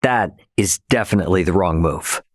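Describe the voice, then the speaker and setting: Brash young captain, studio-dry voice. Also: male voice, deep voice, normal speed, no room sound. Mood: earnest, reflective. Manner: informal, slangy, plain-spoken. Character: brash, casual young starship captain, cocky but loyal man. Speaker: video game voice actor. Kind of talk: English game dub character dialogue.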